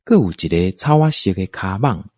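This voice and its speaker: A man's voice: low-pitched, very animated, moderate pace, darkish tone, no room sound, studio quality. A man with a Taiwanese accent narrating a scripted folktale for an audio drama.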